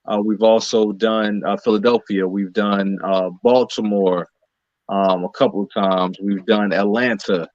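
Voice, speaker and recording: An American man answering interview questions conversationally as a guest, explaining in a measured way with pauses between phrases. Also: fairly low pitch, steady tone, a little mellow, no room sound, dull audio. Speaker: playwright, director and actor interviewed as guest.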